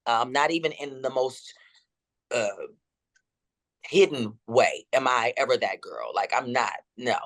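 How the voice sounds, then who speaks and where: A woman in her fifties with a deep, rolling voice, telling her story dramatically as an interview guest. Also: unhurried, long pauses. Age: 50s. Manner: dramatic and expressive.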